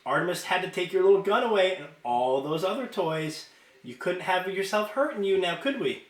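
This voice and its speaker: A man voicing a giddy, taunting teenage girl in a storybook, playful and sing-song with drawn-out condescension. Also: high-pitched, animated, reverberant, pitched above the performer's own voice. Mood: mocking sing-song.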